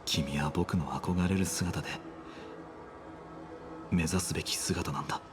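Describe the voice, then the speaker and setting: A man voicing a solemn, resigned young hero in a dramatic game scene, softly and with breathy phrase endings. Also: low voice, steady tone, a little fast, natural voice. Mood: earnest, gentle. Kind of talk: dramatic Japanese game voice acting. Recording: game music under the dialogue.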